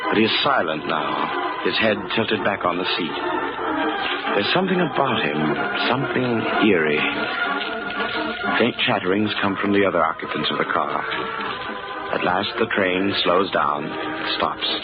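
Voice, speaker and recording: A man narrating a radio drama in a deliberate, suspenseful storytelling manner. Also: deep voice, expressive. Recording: muffled old radio sound under music.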